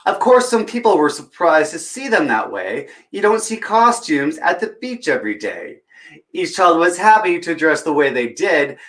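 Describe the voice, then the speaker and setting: Male children's storyteller, fairly high voice, encouraging, chatty read-aloud style that speaks straight to little kids. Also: big pitch swings, moderate pace, a bit bright. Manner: encouraging, talks directly to young listeners. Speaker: children's story time reader.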